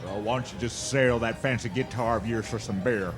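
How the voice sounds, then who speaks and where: An actor playing a mocking, menacing cowboy bully with a taunting drawl in a western radio drama. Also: male voice, mid-range voice, fairly quick, natural voice. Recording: music behind the voice.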